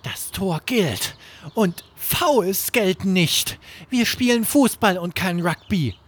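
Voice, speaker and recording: A man acts out an indignant schoolboy footballer in a children's bedtime tale. The voice is raised high and swings widely, with a husky edge. He exclaims and leans hard on his words with a sense of fair play. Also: animated, slow, full character voice. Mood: indignant, firm. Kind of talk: children's bedtime audio story.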